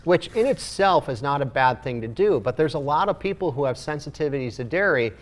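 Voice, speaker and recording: A man, the guest in a casual studio interview, explaining in a measured way with frequent restarts. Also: a little high, animated. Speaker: guest nutrition coach.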